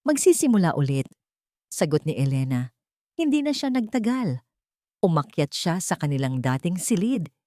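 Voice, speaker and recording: Deep-voiced woman narrating a dramatic story. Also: very animated, moderate pace, a little mellow, dry recording, clean studio sound.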